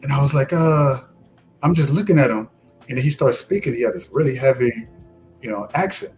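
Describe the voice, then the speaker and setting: A man telling a story in a lively, casual way and acting out the voices of the people he quotes. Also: mid-range voice, brisk, soft background music. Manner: animated storyteller who acts out quoted voices. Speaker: podcast co-host. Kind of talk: casual chat.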